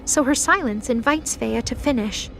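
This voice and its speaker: A woman's voice: a little high, moderate pace, creaky, fairly bright tone, slight background noise, low-quality recording. A woman narrator reading a scripted dramatic story for an audio drama. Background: music.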